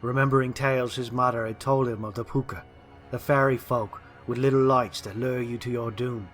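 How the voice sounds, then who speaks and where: A man's voice: medium pitch, steady tone, fairly quick, faint music behind. A man reading a scripted historical ghost story as a dramatic narrator.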